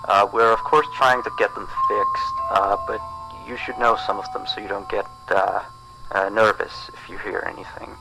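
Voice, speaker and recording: Guy acting as nervous phone guy from a horror game, kinda low voice, lots of hesitant stammering reassurance, clear music in the background. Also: fairly even intonation, normal speed, lightly acted, rough recording. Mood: awkward, uneasy reassurance. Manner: hesitant, stammering, rambling, downplaying. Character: awkward, nervously reassuring male pizzeria employee on phone. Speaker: night-shift phone guide in a horror game. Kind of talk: recorded phone message, acted as casual rambling.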